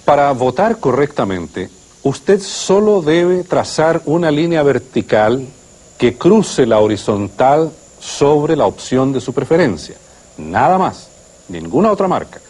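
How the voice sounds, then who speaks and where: A man with a Chilean accent and a husky voice explaining instructions in a broadcast address. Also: mid-range voice, sing-song, a little slow, a little mellow.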